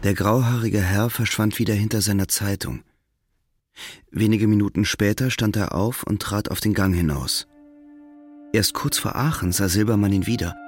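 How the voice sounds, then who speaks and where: A man's voice: lowish voice, normal speed, slightly rough, dry recording, faint music behind. A man reading scripted literary narration as the narrator of an audio drama.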